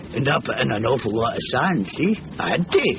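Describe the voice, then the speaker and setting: Nervy scottish workman, chatty and rambling, old radio play, muffled with loud-ish hiss. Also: medium pitch, lively intonation, measured pace, vocal fry. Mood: explaining eagerly. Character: chatty, jittery working-class labourer with a shady scheme. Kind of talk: 1970s British radio comedy-thriller acting.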